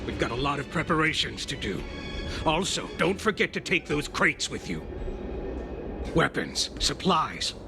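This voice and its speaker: A man's voice: a little high, very animated, a little slow, gravelly, over music, heavily acted. A man voicing a brusque, scheming henchman in a video game, commanding and impatient. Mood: stern. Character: brusque, scheming male henchman bossing his partner around. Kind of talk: video game character acting.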